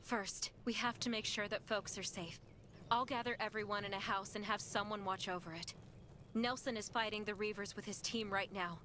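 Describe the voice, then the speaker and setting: An actress plays an earnest game character who looks after a settlement. Her voice sits at a medium pitch, responsible and concerned, with a faint rumbling noise behind it. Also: fairly even intonation, moderate pace, sharp tone, natural voice. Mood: worried resolve. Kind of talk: video game dialogue.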